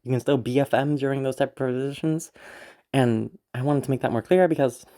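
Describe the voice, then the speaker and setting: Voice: mid-range voice, a little fast, dark tone. A content creator with a congested voice, rambling casually to viewers in an anxious, apologetic, giggly way, with some swearing. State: congested from being sick. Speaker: audio roleplay creator.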